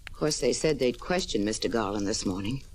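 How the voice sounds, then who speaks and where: A woman's voice: extremely low pitch, lively intonation, a little fast, a little mellow. A woman playing a cool, haughty widow under suspicion in a 1940s radio drama, composed and dismissive. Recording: noisy old radio recording.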